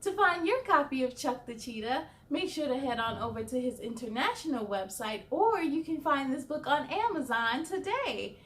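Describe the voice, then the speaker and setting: A woman hosting children's story time, reading aloud and talking to young listeners in a deliberate, clearly phrased way. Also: high voice, sing-song, a little hiss or hum. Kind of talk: animated children's read-aloud narration.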